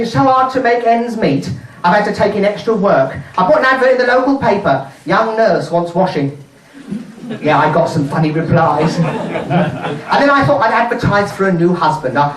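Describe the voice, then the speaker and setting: A middle-aged pantomime dame played by a man delivers saucy stand-up as a self-pitying widow and nurse. The voice swoops up and down with deliberate comic pauses. It is heard at a distance from the microphone in a roomy live venue. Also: high-pitched, sing-song, a crowd in the background. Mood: saucy confiding. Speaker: stand-up comedian. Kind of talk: stand-up comedy routine in pantomime dame character. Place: indoor charity show before a live audience.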